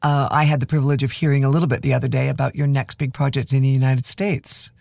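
A man hosts an interview and praises his guest freely. His voice is gravelly and sits in a middle range. The sound is dry and close. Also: mid-range voice, flat delivery, fast talker, darkish tone, dry recording. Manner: effusive, praising.

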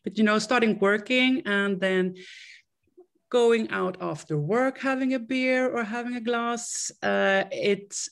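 A Swedish woman in her fifties, speaking English as a second language with a Swedish accent. She talks in a halting way, restarting and repeating words as she tells her story. Her voice has a gravelly, creaky edge. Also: fairly high pitch. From Sweden. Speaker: guest. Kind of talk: casual interview.

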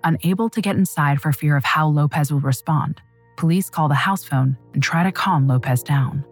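Husky low-voiced woman narrating true crime. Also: dry recording, soft background music. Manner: measured, dramatic storytelling. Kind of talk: scripted narration.

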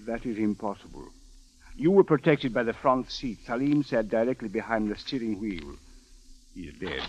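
An actor playing a stern, sceptical Egyptian police captain who speaks precisely and formally in an old radio drama. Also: male voice, on the low side, lively intonation, normal speed, a little mellow, husky. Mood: stern, questioning. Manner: precise, formal, without contractions. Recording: dull vintage radio recording.

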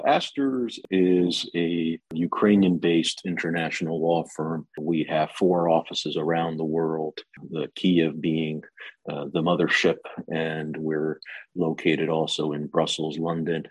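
An American man answering interview questions about his career, speaking in a measured way with frequent fillers and restarts. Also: a bass voice, fairly even intonation, husky, dry recording, rough recording. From United States. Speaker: guest, lawyer.

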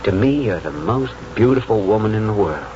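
Low-voiced earnest lawyer played by a man, old radio drama. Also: expressive, brisk, lightly acted. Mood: tender, reminiscing. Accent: American. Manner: reflective, sincere. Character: earnest, principled small-town lawyer, devoted husband, reminiscing. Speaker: lead actor. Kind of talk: 1940s radio drama narration and dialogue. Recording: dull 1940s radio recording, music behind.

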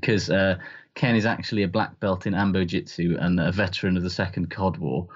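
A man's voice: sits low, steady tone, brisk, lo-fi sound, noisy background. A man from Wales chatting casually and comically as a co-host, hedging as he goes and easily amused. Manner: hedging, conversational, readily amused. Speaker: podcast co-host.

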